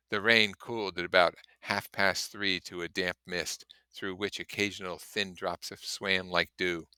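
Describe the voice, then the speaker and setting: Low-voiced man reading aloud, bright and crisp. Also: no echo. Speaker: reader and commentator. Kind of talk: audiobook-style reading with casual commentary.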